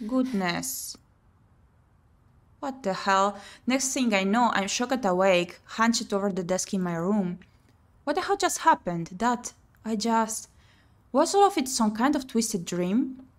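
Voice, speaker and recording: A woman streaming a visual novel playthrough, reading the text aloud and thinking out loud as she plays. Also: medium pitch, animated, slow. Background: game music.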